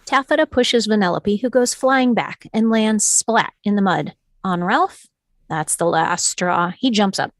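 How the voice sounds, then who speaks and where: A woman's voice: medium pitch, measured pace, no room sound. Script narrator: woman, mid-range voice, reading stage directions, very dry recording.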